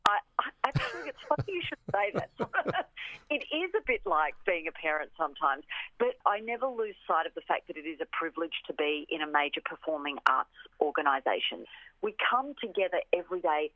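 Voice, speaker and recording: A woman's voice: on the low side, big pitch swings, brisk, pushed and bright, dry recording. Aussie woman on a tinny phone line, keen and emphatic, chatting as a radio interview guest. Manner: enthusiastic, emphatic, repeats phrases for emphasis. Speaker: orchestra representative, interview guest. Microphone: telephone.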